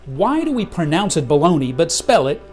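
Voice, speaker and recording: A man delivers a short scripted explainer in a bright, chatty tone and spells out words. His voice sits a little high. Faint music plays under him, as in a played video clip. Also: big pitch swings, normal speed. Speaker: online video presenter.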